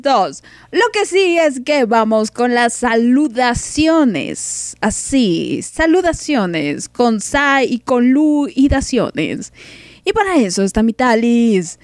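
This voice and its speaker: A woman's voice: on the high side, no room sound. Playful Mexican woman hosting a show. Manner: playful, joking, laughs often. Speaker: host. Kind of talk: casual live-stream chat.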